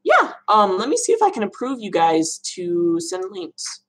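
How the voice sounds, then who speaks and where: A young woman with a low voice, hosting a casual live Q&A stream from her room at home, chatty and rambling. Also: wildly swooping pitch, moderate pace. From Arizona, USA. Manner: chatty, rambling, laughs often. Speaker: livestream host answering viewer questions.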